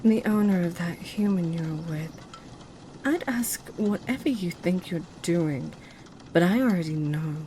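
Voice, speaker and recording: Woman as a possessive succubus, mellow lowish voice, unhurried, coolly confident, dryly threatening, ASMR roleplay. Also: animated, slight background noise. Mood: cool, stern. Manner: cool, deliberate, dryly threatening.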